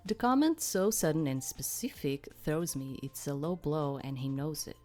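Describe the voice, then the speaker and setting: Lower-voiced woman playing and narrating a game. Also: sing-song, moderate pace, darkish tone, soft background music. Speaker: let's play host. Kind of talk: reading game narration aloud with casual commentary.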